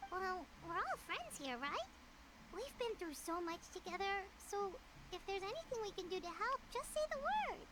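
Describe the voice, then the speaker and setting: A woman voicing a tiny floating fairy companion, chatty and nosy, in a high childlike voice for a video game. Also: very animated, normal speed, heavy background noise. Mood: nosy, cheerful. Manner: chatty, exclaiming, meddling. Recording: game audio with music behind.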